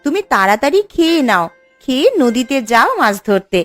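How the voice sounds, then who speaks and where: Lilting, high-voiced village wife, acted by a woman. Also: expressive, brisk, faint music behind, lightly acted. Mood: caring. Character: caring village wife urging her husband on. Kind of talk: animated moral story voice acting.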